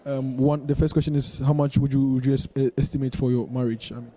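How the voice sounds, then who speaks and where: A man's voice: medium pitch, level tone, quick pace, warm tone. A man interviewing people on the street, chatty and upbeat, stumbling over his words and laughing easily.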